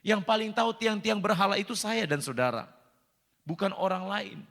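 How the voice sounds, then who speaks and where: Pastor, male, high gravelly voice, emphatic and repetitive sermon delivery, long hall reverb. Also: animated, moderate pace, fairly bright tone. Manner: repeats phrases, addresses congregation directly, emphatic rises. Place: church hall.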